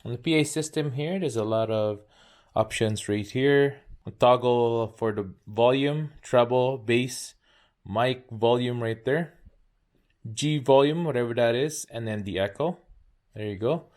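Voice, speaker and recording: A man's voice: mid-range voice, unhurried, darkish tone. A man narrating a casual hands-on product demo, pointing things out piece by piece in short phrases. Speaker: product reviewer.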